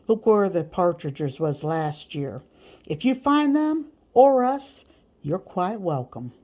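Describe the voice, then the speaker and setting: Audiobook heavy, female reader playing a gruff, menacing man, slow and emphatic, with rough country grammar. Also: mid-range voice, dark tone. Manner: blunt, threatening, rustic grammar. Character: big, gruff, bullying male slave catcher, slow-thinking. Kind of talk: audiobook character voice.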